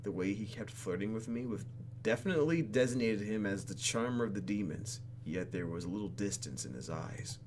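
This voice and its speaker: A man's voice: fairly low pitch, animated, fairly quick, a little mellow. A man hosting a gaming video, reading game text aloud and adding theatrical, jokey asides, with some noise behind him.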